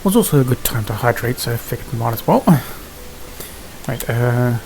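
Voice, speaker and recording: A man's voice: mid-range voice, lively intonation, normal speed, gravelly, darkish tone, slight background noise, poor audio. A man giving casual gameplay commentary, muttering to himself in an understated way. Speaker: gaming video host. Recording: game music behind the voice. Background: video game music.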